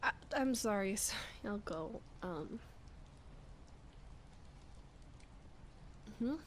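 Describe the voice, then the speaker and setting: A woman's voice: mid-range voice, animated, natural voice. A woman acting as a sleepy, flustered young roommate in an intimate roleplay ASMR, murmuring hesitantly and often whispering, shy and playful. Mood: sheepish, apologetic. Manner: hesitant, halting, breathy murmuring with sighs. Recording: clear background ambience noise. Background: rain ambience.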